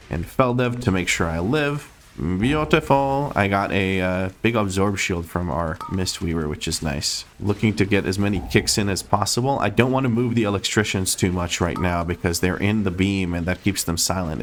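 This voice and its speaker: Gamer man, deep, slightly hoarse, casual play-by-play over faint hiss. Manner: rambling, self-correcting play-by-play. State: losing his voice. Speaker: game streamer. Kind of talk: live gameplay commentary.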